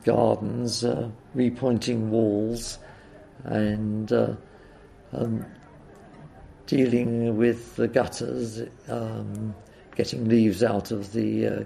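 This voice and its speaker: An older man with a croaky voice, recalling the past in an interview, hesitating often with uhs and ums. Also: mid-range voice, dark tone. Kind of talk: oral history reminiscence.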